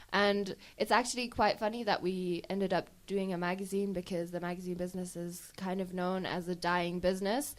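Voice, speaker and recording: A young woman from Germany speaks as a guest in an interview. Her voice sits at a medium pitch, and her answers are casual and conversational. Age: young adult. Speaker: student magazine editor, interview guest.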